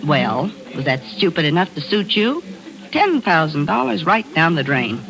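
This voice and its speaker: An actress playing a quick, sharp-tongued woman photographer in a 1940s radio drama, spirited and skeptical. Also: sits low, very animated, a crowd in the background, low-quality recording.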